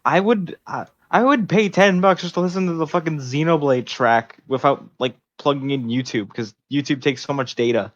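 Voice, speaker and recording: A man's voice: a little high, normal speed, hardly any pauses. A man chatting casually and bluntly as a gaming podcast co-host, slangy and repetitive, over a dull lo-fi connection. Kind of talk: casual chat about video games.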